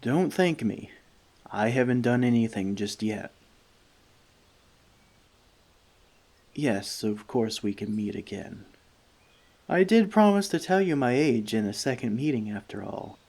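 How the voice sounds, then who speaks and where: A man playing a friendly, thoughtful forest elf in a soft-spoken ASMR roleplay, speaking to the listener in measured, slightly formal words. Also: medium pitch, expressive. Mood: warm, fond. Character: friendly male forest elf, gentle, thoughtful, long-lived.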